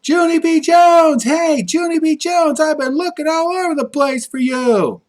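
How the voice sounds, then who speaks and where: A man voicing an excitable, boastful little girl in a storybook, bubbly and eager to show off. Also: very high voice, measured pace, pitched above the performer's own voice. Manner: bubbly, hollering, showing off.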